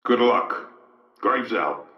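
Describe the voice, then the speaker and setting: A man's voice: on the low side, expressive, extremely slow, reverberant, far from the mic, muffled recording. A man voicing a stern, middle-aged military vice admiral in a video game, giving clipped, formal orders with authority. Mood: stern, measured. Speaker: military commander.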